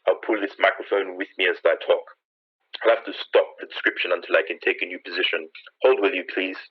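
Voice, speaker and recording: Man playing husky, deep-voiced radio field reporter. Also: steady tone, fast. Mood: tense, watchful. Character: male field radio reporter, eyewitness, increasingly panicked. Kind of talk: 1930s radio drama acting, live eyewitness commentary. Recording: muffled vintage-style radio sound.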